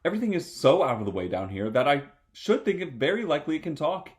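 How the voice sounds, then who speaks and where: A man's voice: on the high side, big pitch swings, fast. A man voicing a polite, chatty, anxious little girl from a storybook, earnestly musing aloud.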